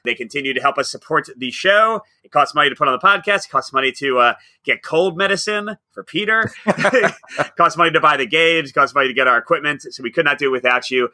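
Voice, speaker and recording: Upbeat guy on a podcast, kinda high voice, jokey, dry close mic. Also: fast talker, bright, forceful tone, no echo. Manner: upbeat and teasing, laughs often. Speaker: podcast co-host. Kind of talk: casual podcast chat.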